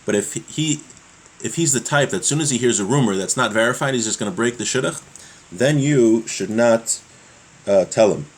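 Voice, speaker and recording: A man giving a religious law lesson, reading from a text and explaining it in a halting way, repeating his points. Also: medium pitch, animated, slightly rough. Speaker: religious teacher.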